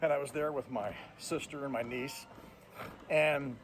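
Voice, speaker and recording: A man's voice: medium pitch, fairly even intonation, a little slow. A man in his fifties talks straight to his viewers in a blunt, plainspoken way. He chats casually while walking on a treadmill. A faint hum sits behind his voice. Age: 50s. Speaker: truck driver and vlogger. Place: indoors on a treadmill.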